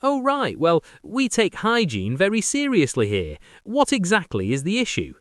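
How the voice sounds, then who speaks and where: A man putting on a young woman at a hotel front desk in a comic story. She is crisp and professional, pitched high with lively, swinging intonation. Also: moderate pace, no echo, studio quality. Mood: polite, confused. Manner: crisp customer-service politeness. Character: polite, efficient young woman hotel receptionist, increasingly sceptical. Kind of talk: comic prank-call storytelling.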